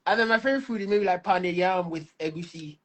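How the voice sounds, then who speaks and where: A man's voice: high-pitched, fast. A man in his twenties who grew up in Lagos, a musical artist talking easygoingly as a guest on a video call. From Lagos, Nigeria. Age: 20s. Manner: easygoing, many "like" and "you know" fillers. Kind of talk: casual interview chat.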